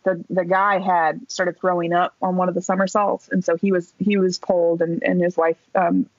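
A woman in her thirties telling a story as a podcast guest, talking in a casual, animated way with lots of fillers and the odd swear word. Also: lowish voice, moderate pace, no room sound. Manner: animated, chatty, many fillers and swear words. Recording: dull dry podcast recording.

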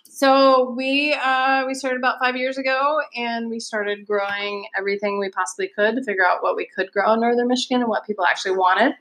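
A woman's voice: fairly high pitch, little pitch movement, brisk, few pauses, fairly bright tone, poor audio. A woman in her thirties from Virginia, a vegetable farmer, chatting as an interview guest with many ums. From Virginia, USA. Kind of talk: casual interview chat.

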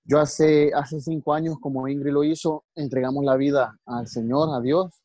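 Earnest Salvadoran man, guest coach; mellow tone, slightly high, dry close sound. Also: fairly even intonation, normal speed, no room sound. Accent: Salvadoran Spanish. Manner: earnest, many restarts and religious asides. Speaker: guest martial arts coach. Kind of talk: interview.

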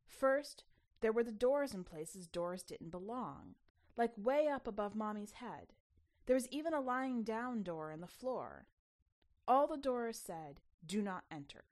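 A woman reading a short story as narrator, in an expressive scripted storytelling style. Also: fairly high pitch, measured pace. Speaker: podcast host and story narrator.

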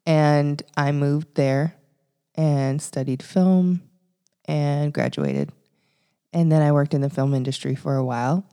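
A woman's voice: low-pitched, expressive, slow, mellow. A woman from Canada in her thirties, co-hosting a podcast and chatting casually about her life. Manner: chatty storytelling with fillers and laughs. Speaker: podcast co-host.

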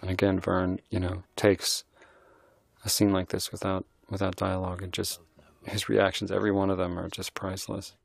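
Middle-aged man, director, commentary, low, gravelly, admiring, halting. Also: monotone. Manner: thoughtful, admiring, halting restarts. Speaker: film director. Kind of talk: film commentary chat.

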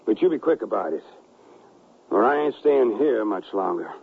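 Gravelly, gruff western colonel. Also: male voice, on the low side, lively intonation, slight background noise. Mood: stubborn, determined. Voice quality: gravelly, husky. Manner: rough frontier speech, halting when troubled. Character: gruff, stubborn western colonel, a wronged widower and father. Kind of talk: western radio drama acting. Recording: dull lo-fi radio recording.

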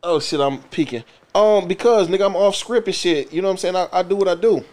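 A man's voice: high voice, quick pace, a little mellow. A man co-hosting a homemade radio show in loose, profane banter, calling friends on the air. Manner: loose, swearing, laughing, calls friends on air.

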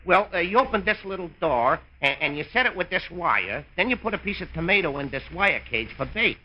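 An actor playing a nervous, stammering store clerk who barely keeps calm, in a 1950s radio comedy. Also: male voice, sits high, a little fast. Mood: anxious, polite. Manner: stammering, nervous, flustered hesitations. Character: jittery, long-suffering male store clerk, barely keeping calm. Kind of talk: 1950s old time radio comedy acting. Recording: very noisy, muffled old radio recording.

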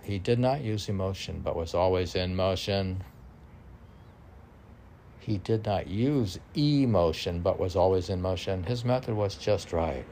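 A man's voice: low voice, measured pace, mellow, a little hiss or hum. A man reading his own poetry informally, musing aloud and chatting to himself between lines in an unpolished way. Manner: musing, talks to himself, asks and answers own questions. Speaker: poet reading own work.

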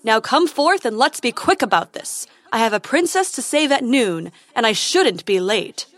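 A woman's voice: fairly high pitch, animated, normal speed. A woman voicing a pompous, hasty young prince in a storybook, given to declaiming. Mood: bold challenge. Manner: loud, declamatory. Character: young, pompous, hasty heroic prince who loses nerve. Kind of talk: storybook character voice.

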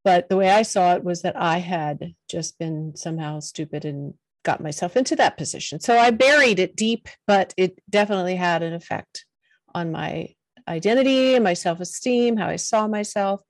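Middle-aged woman, soft-spoken and earnest, lowish voice, dry booth sound. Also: moderate pace, no echo. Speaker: podcast guest, confidence coach. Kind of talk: personal storytelling in an interview.

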